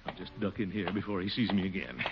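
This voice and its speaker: A pompous, blustering city official in a 1940s radio comedy, his lowish voice swinging up and down as he frets and grumbles. The broadcast is dull and muffled, with clear hiss behind it. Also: animated, quick pace. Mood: grumbling. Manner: flustered, blustering, mutters to himself.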